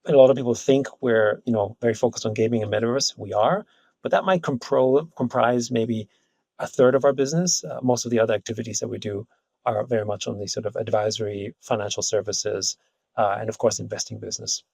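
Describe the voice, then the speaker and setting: A man from Austria, a company founder as interview guest, talking in a relaxed, rambling way with many fillers. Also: mid-range voice, fairly quick, creaky, breathy edge, no echo. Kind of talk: casual interview talk. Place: Hong Kong.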